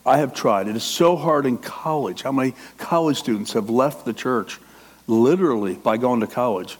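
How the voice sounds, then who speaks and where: A man's voice: mid-range voice, expressive, normal speed, breathy edge. A middle-aged man preaching a sermon with passion, acting out the inner voice of a struggling believer. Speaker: pastor.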